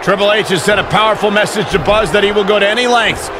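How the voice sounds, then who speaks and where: An actor playing an excitable play-by-play wrestling announcer with a projected broadcast delivery in a video game. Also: male voice, high voice, quick pace, a crowd in the background, noisy background, natural voice. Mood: urgent. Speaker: commentator. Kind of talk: sports commentary.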